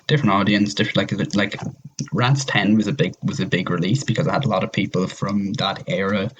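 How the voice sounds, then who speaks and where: A man's voice: low-pitched, fairly even intonation, brisk, muffled recording. A man in casual, slangy podcast conversation, talking informally. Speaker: podcast guest. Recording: clearly noisy background with clicks.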